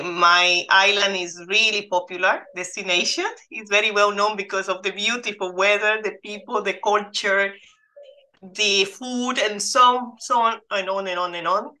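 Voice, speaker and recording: Female guest from the Dominican Republic, 40s, bright tone, earnest storyteller. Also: medium pitch, poor audio. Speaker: guest, life coach. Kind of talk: interview answers.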